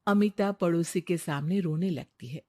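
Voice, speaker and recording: A woman's voice: on the low side, moderate pace, darkish tone. A woman narrating a scripted story as the storyteller.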